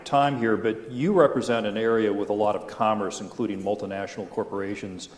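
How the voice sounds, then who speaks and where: Lower-voiced male moderator in a hall. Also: fairly even intonation, a little fast. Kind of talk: moderating a panel. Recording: echoey hall with long reverb.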